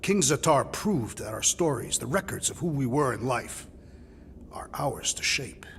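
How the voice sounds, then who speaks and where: A man playing a solemn, honour-bound alien warrior in a video game cutscene, speaking gravely and formally. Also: medium pitch, big pitch swings, a little slow, fairly bright tone, in a large hall, slight background noise, full character voice. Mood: earnest, dignified. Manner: grave, formal, storytelling delivery without contractions.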